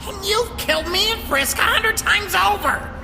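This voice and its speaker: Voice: extremely high pitch, moderate pace, vocal fry, long reverb tail, music in the background. An actor voicing a small, irritable, childlike male talking flower in a fan comic dub, whiny and snappy. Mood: furious.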